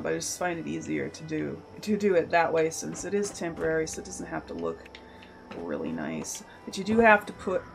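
A woman hosting a game channel, slightly hoarse from a cough, explaining a building project step by step in a friendly, casual voice-over. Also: fairly low pitch. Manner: friendly step-by-step explaining, occasional throat clearing. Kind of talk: casual tutorial narration over gameplay.

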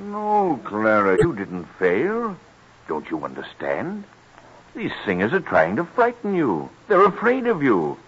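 A gallant colonel in an old radio drama. His husky voice rises and falls dramatically, firm and reassuring, on a muffled recording. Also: medium pitch, wildly swooping pitch, a little slow, heavily acted. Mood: reassuring, emphatic. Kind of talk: old-time American radio acting.

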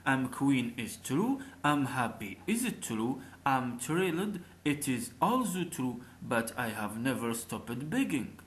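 A man's voice: mid-range voice, big pitch swings, slow, few pauses. A man voicing a young beggar woman turned queen in a folk tale, pleading and stubborn. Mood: frank. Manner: pleading, repetitive.

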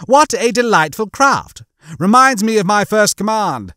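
A man's voice: high-pitched, sing-song, measured pace, bright, forceful tone, dry recording, clean studio sound, voice pushed up, full character voice. A man voicing a pompous, prickly hologram officer in an audiobook, clipped and indignant. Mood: pompous showing off. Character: pompous, prickly male hologram, loudly showing off.

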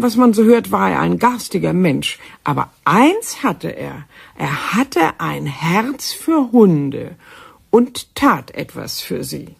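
A woman's voice: medium pitch, gravelly, warm tone, husky, heavily acted. A woman playing an indignant, doting listener in her seventies, prim and reproachful, in a German radio play. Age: 70s. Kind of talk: radio play, letter read in character.

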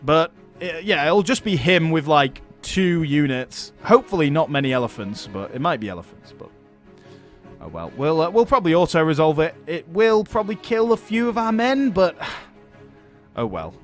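A man's voice: on the high side, sing-song, moderate pace, faint music behind. A man giving casual let's-play commentary on a strategy game, chatting, laughing often and talking to his units as friends. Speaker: gaming YouTuber.

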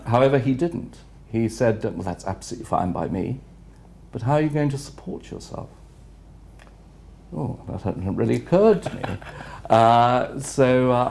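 Gravelly, mellow-voiced older gentleman. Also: mid-range voice, a little hiss or hum. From England. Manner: measured, reflective, unhurried with frequent pauses. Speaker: retired headmaster, guest. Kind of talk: interview answers. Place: office room with city view window.

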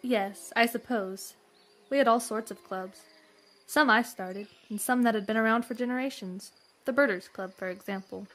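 A woman's voice: on the high side, normal speed, fairly bright tone, close to an everyday voice. An actress playing a refined, bookish, earnestly enthusiastic young lady in a western audio drama, with formal, florid diction. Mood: composed, dreamy.

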